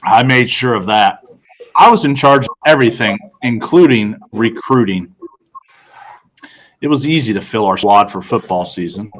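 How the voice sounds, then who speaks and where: A man's voice: fairly low pitch, animated, normal speed, fairly bright tone, rough recording. Man reading a school storybook aloud. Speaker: audiobook reader. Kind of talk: first-person storybook narration read aloud.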